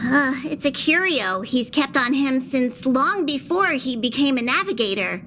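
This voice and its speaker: A woman's voice: high-pitched, expressive, measured pace, bright, forceful tone, a little hiss or hum, exaggerated voice. A woman voicing a small, cute, fussy and fretful train conductor creature in a video game, speaking earnestly. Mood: wistful, recounting. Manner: speaks of self in third person, earnest. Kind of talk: video game story dialogue.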